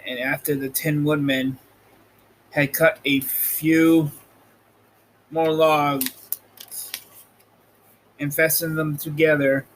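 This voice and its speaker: Guy reading a storybook out loud, kinda slow and stumbly, higher voice, bit of noise behind. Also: rough recording. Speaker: host reading a book aloud. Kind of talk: amateur storybook reading with casual asides. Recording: noticeable background noise.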